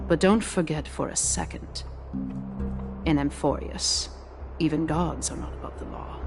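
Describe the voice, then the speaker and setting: A woman voicing a scheming council elder in a video game, smug and formal with mock courtesy and quiet menace. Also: low-pitched. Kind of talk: game voice acting.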